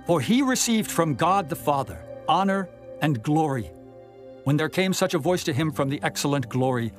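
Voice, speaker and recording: A man giving a dramatized scripture reading in a solemn, measured manner with emphatic phrasing. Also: fairly high pitch, lively intonation. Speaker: Bible narrator. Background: background music.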